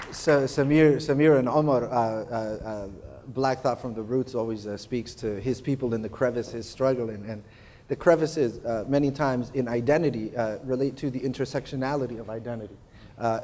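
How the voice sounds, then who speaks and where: A man's voice: mid-range voice, fairly even intonation, a little mellow, a faint crowd, slight background noise. Male panel moderator, hesitant and filler-heavy, recorded with long hall reverb. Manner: halting, many filler sounds. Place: convention hall stage.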